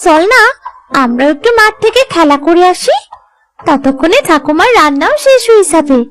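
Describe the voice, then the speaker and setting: A woman's voice: very high voice, sing-song, normal speed, a bit bright, full character voice. A woman voicing a bossy, teasing young village child in a cartoon. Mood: eager. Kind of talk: Bengali cartoon dialogue.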